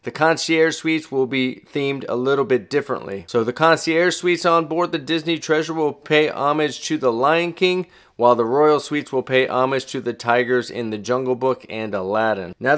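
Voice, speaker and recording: A man's voice: a little high, normal speed, few pauses, fairly bright tone, smooth. A middle-aged man hosting a travel vlog, explaining things casually and chattily to viewers, with self-deprecating asides. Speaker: travel vlogger and host.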